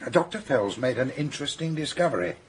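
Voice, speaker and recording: A man's voice: mid-range voice, big pitch swings, fast talker, breathy edge. A man playing a sceptical, matter-of-fact police superintendent in a radio detective drama, dry and blunt. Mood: dry, probing.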